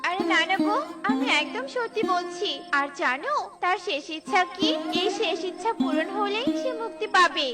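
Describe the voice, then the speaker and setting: Tiny, very high-pitched helpful bird. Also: female voice, animated, moderate pace, over loud music. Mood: earnest. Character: kind, helpful little female tailorbird, married, eager to please.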